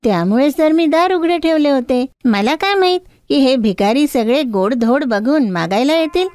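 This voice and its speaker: A woman's voice: sits high, animated, normal speed, a little mellow, dry recording, pitched above the performer's own voice. A woman voicing a scornful, stingy rich housewife in a moral tale, indignant and dismissive.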